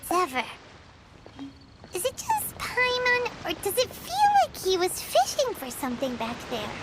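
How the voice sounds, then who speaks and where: Tiny fairy girl, extremely high squeaky voice, bouncy intonation, chirpy, childlike, cartoonish game dialogue. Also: unhurried, noisy background, exaggerated voice. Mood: puzzled. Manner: squeaky, expressive, refers to herself by name.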